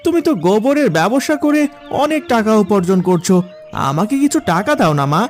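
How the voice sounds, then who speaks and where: Man acting a high-pitched, wheedling selfish son. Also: sing-song, brisk, soft background music. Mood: pleading. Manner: pleading, coaxing. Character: wheedling, selfish grown son of a village mother. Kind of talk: Bengali moral cartoon dialogue.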